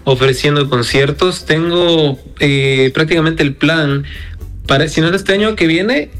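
A man with an Ecuadorian highland accent speaks casually as an interview guest. His voice sits a little high for a man, and he sounds earnest and encouraging. Faint music plays behind him. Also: unhurried, fairly bright tone. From Quito, Ecuador. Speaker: independent singer, interview guest.

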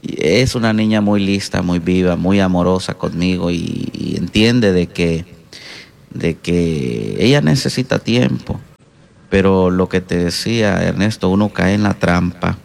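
A middle-aged man with a Honduran Spanish accent, answering interview questions as a pastor in a halting, reflective way. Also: sits low, gravelly, darkish tone. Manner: halting, reflective, hesitant pauses. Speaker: guest pastor and counselor.

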